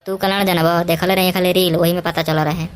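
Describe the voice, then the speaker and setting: A woman's voice: on the low side, flat delivery, fast talker, smooth, natural voice. A woman voicing a cheeky, argumentative schoolboy with a Bhojpuri accent, brash and colloquial, in a cartoon comedy. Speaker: voice actor.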